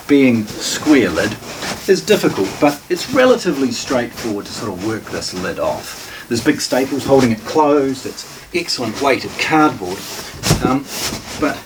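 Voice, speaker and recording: A man gives casual, unscripted unboxing commentary, halting and matter-of-fact as he thinks aloud while handling things. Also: medium pitch. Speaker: presenter of a hi-fi shop's unboxing video. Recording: distant mic, noisy room with handling clatter.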